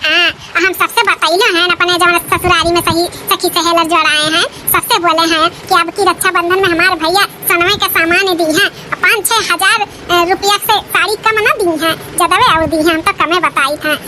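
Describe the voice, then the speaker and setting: Very squeaky, boastful village wife. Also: female voice, fairly even intonation, moderate pace, hardly any pauses. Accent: Awadhi. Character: boastful, greedy village wife in an Awadhi comedy cartoon. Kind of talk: exaggerated cartoon comedy dialogue. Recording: loud background noise, rumble, clicks.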